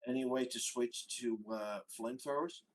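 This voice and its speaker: A man's voice: mid-range voice, moderate pace. A man chatting casually as a player in a tabletop game.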